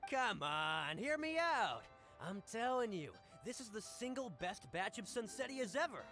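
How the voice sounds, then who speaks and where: A man's voice: sits high, very animated, a little slow, bright, forceful tone, exaggerated voice. A man voicing a pushy fruit merchant in a video game who brags and talks persuasively to close a sale, with game music behind. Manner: boastful, persuasive sales talk. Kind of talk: game voice acting.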